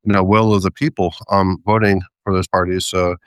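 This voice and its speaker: A man with a smooth, low voice chats with the host, hesitant and full of ums and little laughs. He agrees easily before rambling through his point. The recording is dry and close. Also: flat delivery, a little fast, no room sound. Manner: hesitant, many ums and restarts, light laughs. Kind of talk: casual conversation.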